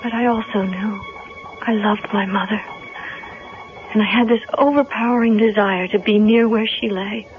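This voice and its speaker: An actress playing an earnest, grieving young heroine in a 1970s radio drama. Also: female voice, mid-range voice, fairly even intonation, normal speed, lightly acted. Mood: haunted, reflective. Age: young adult. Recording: muffled, noisy vintage radio recording.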